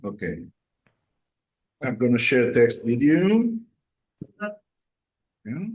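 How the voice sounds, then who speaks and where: A husky-voiced man making casual, practical remarks as he helps run a class. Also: mid-range voice, big pitch swings, extremely slow, a little mellow. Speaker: session organiser handling materials.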